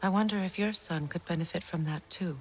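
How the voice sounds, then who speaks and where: A woman playing a sorrowful, regal middle-aged goddess and mother in a video game, speaking in a measured, earnest, wistful way. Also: fairly low pitch, a little hiss or hum, close to an everyday voice. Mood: wistful, sincere. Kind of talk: cinematic video game acting.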